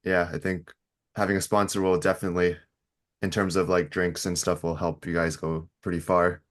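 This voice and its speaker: A man hosting a podcast, asking questions casually in a low-key, laid-back manner. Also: level tone, moderate pace. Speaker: podcast host. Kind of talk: casual interview chat.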